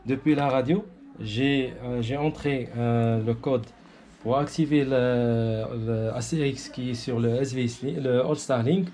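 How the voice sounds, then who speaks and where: A man's voice: mid-range voice, fairly even intonation, measured pace, mellow, a little hiss or hum. A man from Morocco, an amateur radio operator giving an informal technical demonstration while searching for words.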